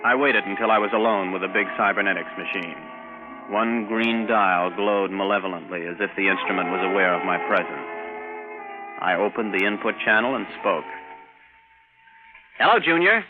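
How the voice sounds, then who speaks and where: A man's voice: fairly low pitch, normal speed, pauses often, phone-quality sound, natural voice. An actor playing a plucky, wry male space captain who narrates his adventure in 1950s radio drama style. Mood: fond, wry. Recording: band-limited vintage radio recording with music.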